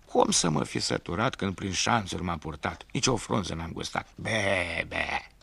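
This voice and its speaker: A man's voice: low-pitched, lively intonation, gravelly, fairly bright tone, husky, exaggerated voice. A man voicing a spiteful, lying she-goat who answers in sing-song rhymes and bleats. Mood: sly, singsong. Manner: sing-song rhyming replies ending in bleats.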